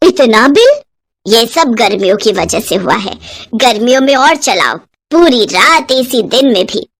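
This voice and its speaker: A woman's voice: on the high side, sing-song, measured pace, gravelly, a bit bright, full character voice. A woman voicing a stingy, scolding middle-aged mother-in-law in a cartoon, with a bossy, penny-pinching way of talking. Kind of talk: cartoon voice acting.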